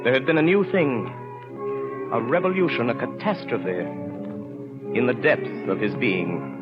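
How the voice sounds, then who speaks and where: Male narrator, medium pitch, solemn theatrical phrasing, vintage dull-sounding broadcast with prominent musical backing. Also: expressive, over music. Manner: grave, theatrical, measured phrasing. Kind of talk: dramatic literary narration, 1930s radio. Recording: muffled 1930s radio recording.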